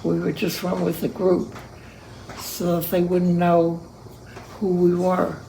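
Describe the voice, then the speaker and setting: A woman of about a hundred recalling her past in an interview, speaking slowly and reminiscing, hard of hearing. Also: fairly low pitch, monotone, vocal fry, mellow, a little hiss or hum, rough recording. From Cuba. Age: 100. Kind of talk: oral history interview.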